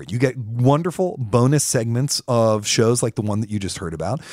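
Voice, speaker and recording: Animated man hosting a podcast. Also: medium pitch, dry recording, studio quality. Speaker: podcast host. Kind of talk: upbeat conversational podcast presenting.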